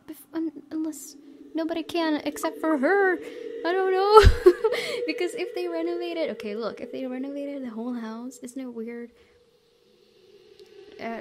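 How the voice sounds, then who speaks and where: Higher-voiced woman narrating a horror game. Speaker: gaming video host. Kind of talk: let's play, reading visual novel text aloud with spontaneous reactions. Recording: noticeable background noise and ambience.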